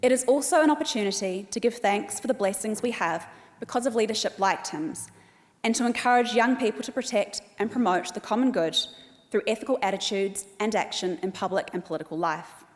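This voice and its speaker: A woman with a New Zealand accent delivers a formal welcome address in a measured, careful cadence. Her voice sits at an ordinary middle pitch. It carries with a long reverb through a large hall. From Australia. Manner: measured, reads names slowly and deliberately. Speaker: event host. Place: large hall at an evening event.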